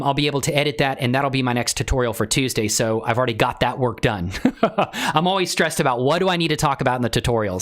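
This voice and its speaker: Livestream tutorial host: informal, enthusiastic, chatty, mid-pitched with very animated intonation. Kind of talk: casual livestream chat with software tutorial.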